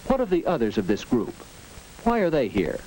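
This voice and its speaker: A man narrates a vintage instructional film in an earnest, teacherly way. His voice rises and falls with lively expression. The old soundtrack carries a faint hiss and occasional clicks. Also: medium pitch, normal speed, low-quality recording. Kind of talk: 1940s educational film narration.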